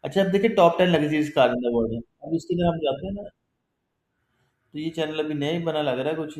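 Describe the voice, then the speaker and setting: A man's voice: mid-range voice, darkish tone. Man teaching a class in an echoey room. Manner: conversational, explains step by step with frequent check-ins and fillers. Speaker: instructor. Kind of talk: live online class with screen sharing.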